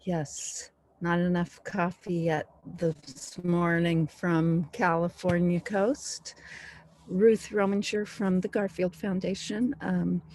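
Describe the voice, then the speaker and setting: A woman with a low-ish, flat voice introduces herself on a video call panel. She speaks slowly and in a measured, low-key way. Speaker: foundation staff, panelist.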